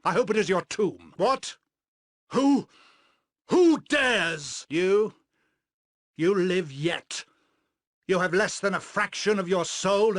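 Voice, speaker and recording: A man voicing a cold, vengeful, soulless elven sorcerer villain for a video game, grandiose and menacing, with deliberate, theatrical, formal diction. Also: on the high side, fairly bright tone, no room sound. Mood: furious, defiant. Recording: dry studio recording.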